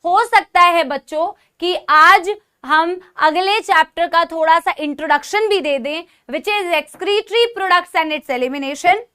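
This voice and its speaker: High bright-voiced teacher with a sore throat. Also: female voice, slow. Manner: addresses students as beta, asks "theek hai?" often; mixes English phrases into Hindi speech. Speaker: online biology teacher. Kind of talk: live online class for exam students.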